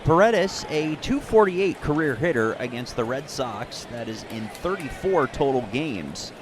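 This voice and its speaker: A man calling baseball play-by-play in a descriptive, measured radio broadcast style. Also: a little high, background noise. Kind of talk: live radio sports commentary with sponsor reads.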